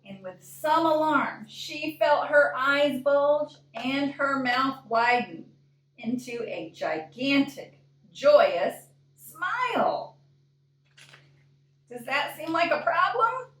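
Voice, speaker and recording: A woman reading a picture book aloud in an expressive storytime style, deliberate and often putting questions to young listeners. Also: high-pitched. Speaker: librarian reading a storybook to children. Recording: echoey room, distant microphone.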